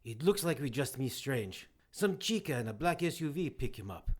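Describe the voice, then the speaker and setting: An actress playing a tough, husky operative in an audio drama, reporting to her superior in a brisk, dutiful way. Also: a bass voice, sing-song, a little mellow, heavily acted. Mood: businesslike.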